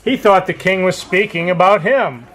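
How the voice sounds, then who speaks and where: A man's voice: sits high, moderate pace. A man telling a story as narrator of an amateur puppet show. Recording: faint background noise.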